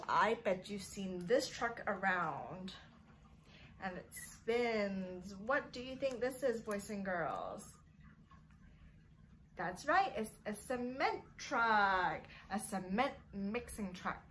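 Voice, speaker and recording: Higher-voiced woman teaching little kids. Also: fairly bright tone, slight background noise. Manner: sing-song, encouraging, asks questions and pauses for answers. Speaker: children's teacher. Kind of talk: interactive preschool lesson for young children.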